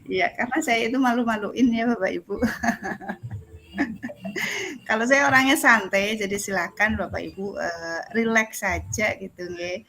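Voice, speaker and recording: A woman's voice: mid-range voice, normal speed, pauses often, fairly bright tone, a little hiss or hum, rough recording. A woman facilitating an online class in a casual, jokey, giggly and self-deprecating way. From Indonesia. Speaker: facilitator of an online teacher training forum. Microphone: video call.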